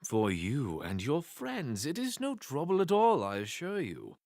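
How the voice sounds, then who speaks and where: An elf patriarch acted by a man speaks in a smooth, courtly way, stretching his phrases. His mid-pitched voice swings widely in tone, close and dry, like a gracious lord in an audio drama. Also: wildly swooping pitch, measured pace, darkish tone, dry recording, exaggerated voice. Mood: gracious, assuring. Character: gracious, ambitious elf patriarch and spymaster mage.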